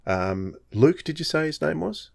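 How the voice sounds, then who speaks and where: A man's voice: a little high, big pitch swings, moderate pace, gravelly. A man hosting a podcast in a casual, conversational style. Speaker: podcast host. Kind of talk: casual chat.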